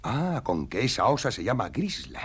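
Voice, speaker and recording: Gravelly husky voice, boastful cartoon hunter, wildly swinging pitch, blustering, middle-aged. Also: male voice, huge pitch swings, normal speed, heavily acted. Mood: admiring, scheming. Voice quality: raspy, gravelly. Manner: blustering, exclamatory, swinging from hushed to shouting. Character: boastful veteran male bear hunter. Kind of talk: dubbed cartoon acting.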